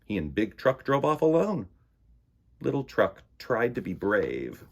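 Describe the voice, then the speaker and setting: A man's voice: lowish voice, wildly swooping pitch, unhurried, vocal fry. A man reading a picture book aloud to children with dramatic emphasis and stretched words. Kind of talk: animated children's story reading.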